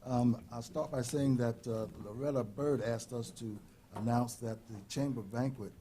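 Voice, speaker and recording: A man's voice: medium pitch, steady tone, normal speed, mellow, a little hiss or hum. A man giving a town manager's report at a board meeting, hesitating with frequent ums. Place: council chamber.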